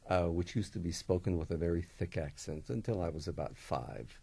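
Gravelly older man, wry and hesitant. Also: sits low, animated, warm tone. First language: Russian. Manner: measured, wry, hesitant with many uhs. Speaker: composer guest. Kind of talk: radio interview, reminiscing.

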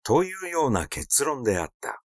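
A man's voice: medium pitch, sing-song, normal speed, no echo, clean studio sound. A man reading a literary mystery story aloud as its narrator. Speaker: audiobook narrator.

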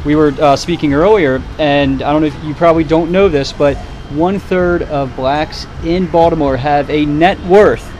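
A man conducting a street interview outdoors, speaking earnestly and deliberately and repeating his points. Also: fairly high pitch, darkish tone. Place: outdoors in front of row houses. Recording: noisy outdoor street, passing vehicles.

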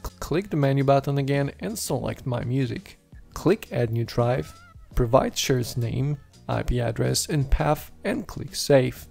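A man's voice: mid-range voice, measured pace, creaky, darkish tone. A man hosting a tech video, reading a scripted how-to voice-over. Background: background music.

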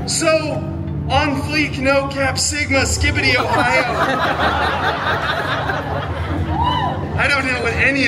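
Sarcastic superhero, high campy voice, theatrical fairy-tale storytelling, loud music and crowd, outdoor park show. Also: male voice, rough recording. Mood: mock dramatic. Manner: campy, sarcastic, exaggerated dramatic delivery with asides. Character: wisecracking costumed superhero telling a mock fairy tale. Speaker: theme park show performer. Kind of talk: theatrical live storytelling to an audience. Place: outdoor theme park stage, filmed from the audience.

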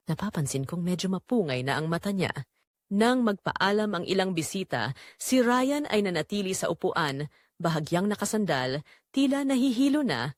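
A woman's voice: fairly low pitch, no echo, clean studio sound. A woman narrating a romance audio drama as a yearning married mother confessing forbidden attraction. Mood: longing, tense.

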